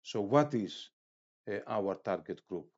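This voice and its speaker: A man giving an explanatory online lecture, reading out prepared prompts in a halting way with frequent filled pauses. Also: fairly low pitch, lively intonation, darkish tone, highs cut off.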